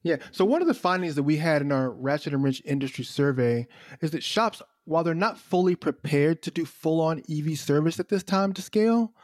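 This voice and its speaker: A man's voice: on the high side, animated, brisk, no echo, studio quality. A man hosting a trade radio show, conversational as he leads the guest with questions. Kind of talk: radio interview.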